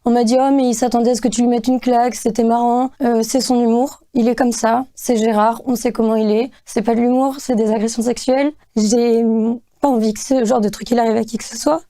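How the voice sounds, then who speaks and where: A woman's voice: a little high, dark tone. Hesitant young woman testifying. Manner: hesitant, many fillers and pauses. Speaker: actress giving testimony. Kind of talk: testimony interview.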